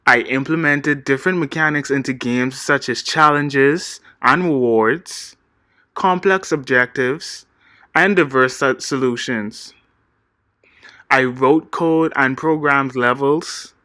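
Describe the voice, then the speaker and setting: A man, giving a steady, earnest scripted presentation as a game designer pitching himself. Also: slow, dry recording, studio quality. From Bahamas. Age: 20s. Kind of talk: read-aloud scripted presentation.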